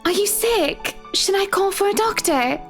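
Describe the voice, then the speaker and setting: Very high-voiced, gracious doting wife. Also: female voice, animated, measured pace, over music, heavily acted. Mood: concerned. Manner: solicitous, gently chiding, effusive. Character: gracious, doting married woman client, Missus Wright. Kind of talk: visual novel voice acting.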